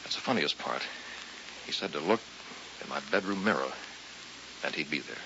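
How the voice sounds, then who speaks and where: Gravelly, very deep-voiced, frightened husband. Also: male voice, expressive, moderate pace, heavily acted. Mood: desperate, confessing. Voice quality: gravelly, very low. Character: frightened, exhausted husband haunted by a strange accident. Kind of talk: vintage radio drama acting. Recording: vintage radio, dull with faint hiss.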